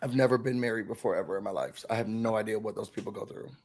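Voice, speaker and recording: A slightly hoarse man hosting a casual TV recap chat, telling the story animatedly and acting out quotes. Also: lowish voice, racing, a little mellow. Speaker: recap show host.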